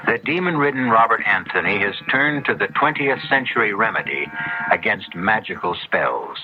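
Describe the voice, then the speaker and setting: A man acting as the ominous host of a 1970s radio drama, narrating in a portentous, theatrical, measured manner. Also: on the low side, animated, background noise, close to an everyday voice. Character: ominous male host guiding listeners into dark tales. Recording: muffled old radio broadcast recording. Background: music.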